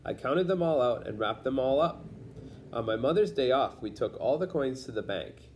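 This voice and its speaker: A man reads a picture book aloud for children in a medium-pitched voice. He narrates the story in simple phrases, as a read-aloud storyteller does for young listeners. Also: lively intonation, moderate pace, a little hiss or hum. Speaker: storybook reader.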